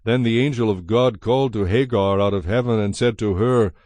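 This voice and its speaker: A man giving a measured, solemn narration of scripture as the narrator of a dramatized production. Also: on the low side, dry recording. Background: soft music.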